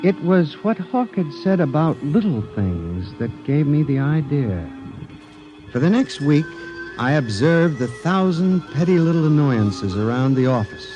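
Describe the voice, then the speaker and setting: An actor playing an obsessed, fearful office clerk, narrating in an earnest, theatrical 1950s radio drama manner. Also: male voice, on the high side, very animated, unhurried, lo-fi sound. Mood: brooding, dread. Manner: intense, earnest, formal, theatrical. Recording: 1950s radio broadcast with music behind.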